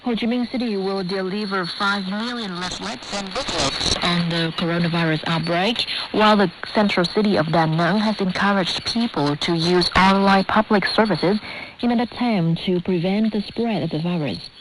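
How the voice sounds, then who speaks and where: A woman's voice: mid-range voice, normal speed. Woman reading the news over shortwave. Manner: crisp formal newsreading. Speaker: newsreader. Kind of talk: scripted news bulletin. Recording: shortwave radio with static.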